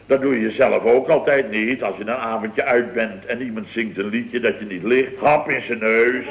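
Actor playing a blustering jovial boss, muffled old radio. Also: male voice, mid-range voice, steady tone, normal speed, lightly acted. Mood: mocking. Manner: bantering, sarcastic, exclamatory, repeats catchphrases. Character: blustering, jovial, mocking boss of a building firm. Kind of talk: radio comedy acting.

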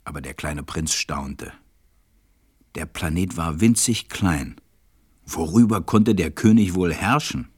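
An actor serving as the narrator of a radio play, reading a children's story in a measured, scripted way. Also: male voice, deep voice, lively intonation, slightly rough, full character voice. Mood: measured narration.

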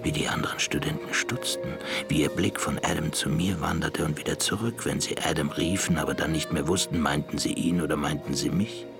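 Radio play narrator: intelligent half-ape, extremely low and gravelly, hushed, reflective, brooding. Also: male voice, fairly even intonation, fairly quick, hardly any pauses, music in the background. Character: brain-enhanced male half-ape looking back, brooding and thoughtful. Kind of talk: radio drama first-person narration.

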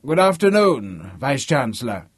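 A storybook old man of ninety, crotchety and commanding. The voice is loud, pushed high and rough with huskiness, as he orders younger people about. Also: big pitch swings, normal speed, dry recording, clean studio sound. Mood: crotchety, imperious. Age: older.

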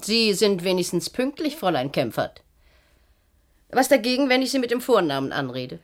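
An actress in a German radio play voicing a stern, sardonic matron of a secretive clinic, brusque, probing and condescending. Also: female voice, mid-range voice, big pitch swings, moderate pace, close to an everyday voice.

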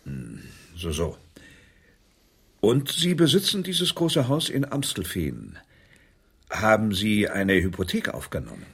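Officious police interrogator, low voice, swooping intonation, suspicious, radio crime drama. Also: male voice, sing-song, slow pace, gravelly, long pauses, a bit bright, husky, heavily acted. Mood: probing, officious. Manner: probing, officious. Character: formal, suspicious, prying male internal police investigator.

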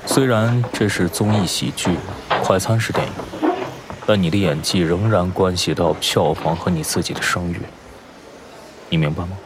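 A man acting a composed, sardonic senior film star in an audio drama, dry and measured, with music behind him. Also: deep voice, background noise, lightly acted. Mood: serious.

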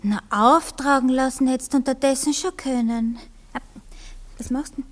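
A woman's voice: high voice, fairly even intonation, moderate pace, close to an everyday voice. An actress playing a capricious young female stage performer in a radio play, with an Austrian accent and a teasing, breezy manner. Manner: playful, breezy, teasing, coquettish.

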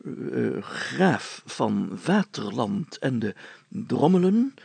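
A man voicing a pompous, excitable town mayor in a children's story, spluttering and stumbling over his words. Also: medium pitch, extremely slow, creaky, breathy edge, dry recording, full character voice. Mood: halting, solemn. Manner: spluttering, hesitant, exclamatory, stumbling while deciphering.

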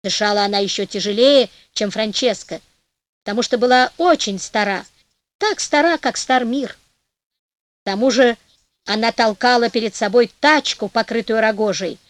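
A woman reads a folk fairy tale aloud with expressive, measured storytelling. Her voice sits a little high. The recording is close and dry. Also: no room sound. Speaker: audiobook narrator. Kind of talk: storybook narration.

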